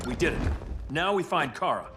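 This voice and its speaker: A man's voice: a little high, animated, a little fast, loud music behind the voice, close to an everyday voice. A man playing a resolute, defiant superhero in a video game, earnest, terse and determined. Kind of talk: dramatic video game acting.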